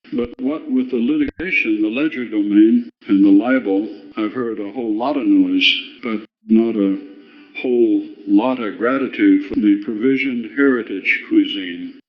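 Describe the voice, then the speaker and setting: A man playing a folksy, nostalgic Midwestern storyteller, mild, wistful and gently reproachful, in a comic radio play. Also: fairly low pitch, lightly acted. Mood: mildly reproachful. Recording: dull, muffled lo-fi sound.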